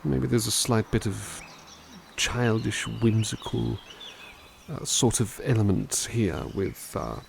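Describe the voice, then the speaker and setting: A man playing a gentle, kindly butler who softly reads poems aloud in an ASMR roleplay and muses thoughtfully on each one. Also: lowish voice, animated, takes it slowly, creaky, noisy background, exaggerated voice. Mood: musing. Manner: soft, musing, thoughtful asides between poems. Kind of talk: ASMR roleplay poetry reading.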